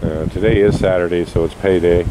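A man with a low-pitched, very even voice talks casually as he films outdoors. Heavy wind noise runs all through the recording. His delivery is dry and understated, with a bit of a grumble, like an unscripted handheld vlog. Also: brisk. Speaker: vlogger. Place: open seashore outdoors. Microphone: handheld camcorder, held by speaker. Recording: very noisy windy outdoor camera audio. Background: loud wind noise.

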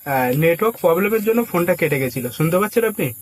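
Higher-voiced man coaxing on a prank call. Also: animated, quick pace, slight background noise. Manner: coaxing, instructing. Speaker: prank caller posing as a film casting agent. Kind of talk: prank phone call, casual persuasive talk.

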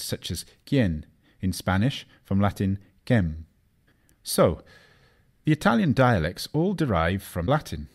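Low-pitched man narrating a lesson, lively intonation. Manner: measured, didactic, carefully enunciates foreign example words. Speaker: narrator of an educational language history video. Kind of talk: scripted narration, lecture.

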